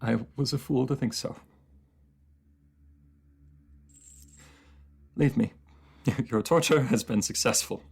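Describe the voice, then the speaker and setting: A man's voice: mid-range voice, moderate pace, gravelly, darkish tone, husky. A man playing an anguished, proud enemy ruler in a fantasy audio roleplay, speaking in formal, theatrical phrases that halt with emotion. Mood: resigned, bitter. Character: captive enemy ruler, anguished, proud, lovesick, under truth potion.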